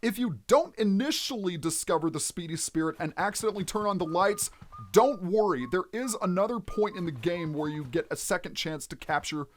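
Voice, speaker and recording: A man hosting a let's play, explaining the game in an expressive, instructional way. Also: high voice, brisk, a little hiss or hum.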